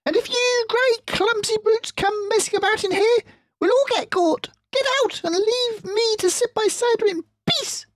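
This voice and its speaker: A man's voice: normal speed, no echo. A man voicing a shrieking, drunken, possessive rat in a storybook reading, indignant and insulting. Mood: shrieking indignation. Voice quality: shrill, shrieking.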